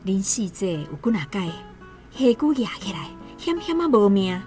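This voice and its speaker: A woman's voice: mid-range voice, big pitch swings, moderate pace, natural voice. An actress playing a stoic, loving village mother in a radio drama, homely and earnest, with a Taiwanese accent. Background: soft guitar music.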